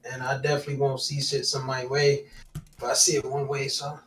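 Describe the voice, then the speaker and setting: A man in casual podcast chat who talks haltingly, searching for the right words and swearing casually. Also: medium pitch, monotone, a bit bright, a little hiss or hum. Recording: echoey, distant from the mic.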